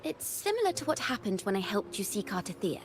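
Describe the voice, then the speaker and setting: A woman playing a composed, knowing video game character who speaks in measured, articulate storytelling. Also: mid-range voice, sing-song, a little hiss or hum, close to an everyday voice. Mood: composed, intrigued. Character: composed, knowing woman recounting heroic events. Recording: music behind the voice.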